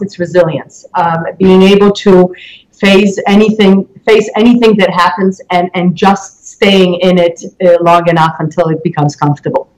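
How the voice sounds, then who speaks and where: A woman in her forties with a Polish accent, giving reflective interview answers in halting, deliberate phrases. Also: medium pitch, darkish tone, low-quality recording. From Poland. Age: 40s. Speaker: interview guest, mortgage loan originator.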